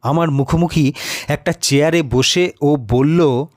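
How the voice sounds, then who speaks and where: A man giving a deliberate, dramatic first-person narration of a story. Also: on the high side, no echo, studio quality.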